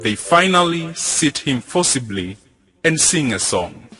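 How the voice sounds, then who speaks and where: Bright, animated young male narrator. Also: a little high, unhurried, soft background music. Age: young adult. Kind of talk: scripted narration of a play summary.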